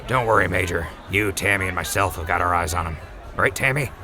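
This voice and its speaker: A man's voice: sits low, brisk, crowd noise. A man voicing an earnest, remorseful young man who pleads and apologizes in an audio drama. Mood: friendly.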